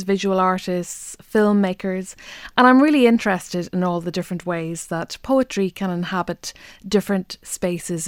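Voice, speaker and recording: A woman poet with a Donegal Irish accent speaks in a fairly low voice, thoughtful and measured, pausing now and then on small fillers. Her first language is Irish. The sound is close and dry, with no room around it. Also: dry recording. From Donegal, Ireland. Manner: thoughtful, with soft hesitations. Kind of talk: interview monologue.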